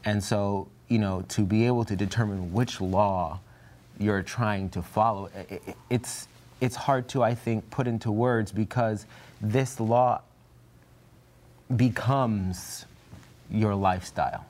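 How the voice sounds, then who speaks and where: A man's voice: lowish voice, fairly even intonation, slow, a little mellow. A man speaking reflectively and earnestly as a panelist in a Bible study discussion.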